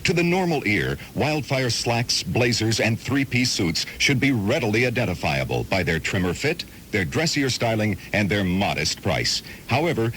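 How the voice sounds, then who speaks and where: A man reading a formal, deadpan mock-official radio commercial announcement on an old rumbly aircheck. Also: a little hiss or hum.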